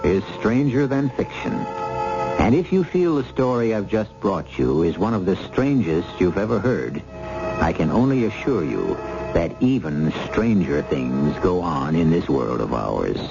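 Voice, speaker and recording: A man hosting a radio drama with scripted narration, measured, theatrical and portentous. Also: sits low, sing-song, gravelly. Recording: dull old radio recording. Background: music.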